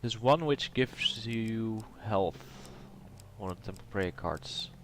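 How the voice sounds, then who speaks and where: A man's voice: fairly low pitch, expressive, slow pace, background noise. A man with a cold and a nasal voice, hosting a casual gameplay commentary and thinking aloud with plenty of hesitation. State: has a cold. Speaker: let's play host.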